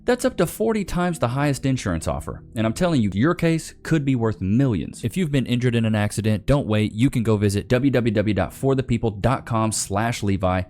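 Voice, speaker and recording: A man's voice: medium pitch, expressive, fairly quick, few pauses, a little mellow. A man hosting a reaction video, talking casually and emphatically in slang. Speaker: online video host reacting to clips. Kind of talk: casual streamer commentary.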